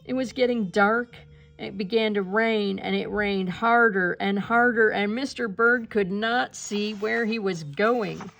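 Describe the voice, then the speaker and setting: A woman reading a children's picture book aloud in an expressive, animated way, with asides to young listeners. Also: medium pitch, measured pace, gravelly. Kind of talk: expressive read-aloud narration.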